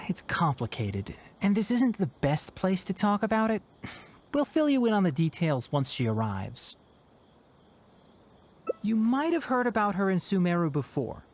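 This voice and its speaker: Composed young researcher, lively pitch. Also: male voice, slight background noise. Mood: discreet, thoughtful. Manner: measured, thoughtful, explanatory. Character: composed young male researcher, discreet and caring mentor. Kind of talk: scripted video game dialogue. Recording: music behind the voice.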